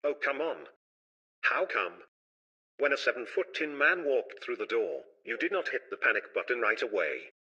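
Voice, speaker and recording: Sharp, forceful, sardonic boss played by a man. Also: medium pitch, expressive, normal speed, husky. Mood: brisk, sardonic. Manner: mocking, theatrical. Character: sardonic, menacing male police boss. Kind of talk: scripted audio drama. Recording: dull, band-limited sound.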